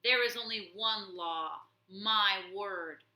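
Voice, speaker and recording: A cruel rat warlord from a storybook, acted out by a woman, boastful and declamatory. The delivery is slow and forceful, with a roomy sound around it. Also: mid-range voice. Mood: furious. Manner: declamatory, shouted threats.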